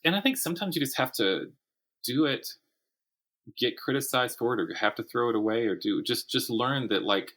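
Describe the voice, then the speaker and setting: A man's voice: medium pitch, lively intonation, moderate pace, a bit bright. A man speaking as a guest in a casual interview, thinking aloud and rephrasing as he goes. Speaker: podcast guest, writer and teacher.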